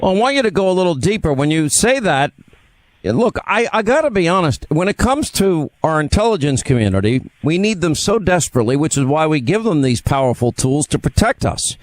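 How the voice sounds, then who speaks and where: A man's voice: a little high, very animated, brisk, no room sound, rough recording. A man hosting an interview, emphatic and persuasive, steering with leading questions. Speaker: host. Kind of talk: interview talk.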